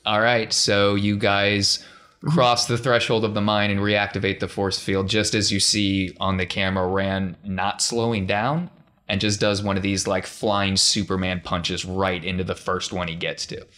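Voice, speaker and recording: A man's voice: on the low side, little pitch movement, moderate pace, fairly bright tone. A man acting as game master, improvising scene descriptions in a casual, conversational way. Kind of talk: improvised narration of a tabletop roleplaying game.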